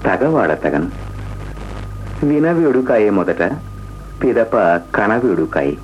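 An actor playing a smitten, courtly stranger in a radio play, speaking in a measured, poetic, gallant way. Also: male voice, on the low side, big pitch swings. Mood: smitten, wistful. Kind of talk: theatrical radio play acting. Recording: dull, noisy old radio play recording.

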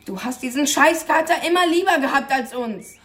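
Casting: angry teenage schoolboy, high voice, defiant and slangy, radio drama. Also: male voice, animated, normal speed, fairly bright tone. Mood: angry, accusing. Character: sullen, angry teenage schoolboy, son of a troubled mother. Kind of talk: German radio crime drama acting.

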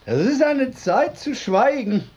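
A drunken eccentric vagrant holds forth like a prophet of doom in a radio play. The voice is high and very deliberate, an incantation that can swell toward shouting. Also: male voice, very animated, breathy edge, muffled recording, full character voice. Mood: solemn, incantatory. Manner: declamatory, incantatory, building to shouts.

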